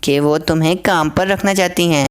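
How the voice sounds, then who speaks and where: A woman voicing a gentle, protective and anxious mother sparrow in a cartoon story. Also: low voice, fairly even intonation, moderate pace, clean tone, lightly acted. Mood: protective, sorrowful.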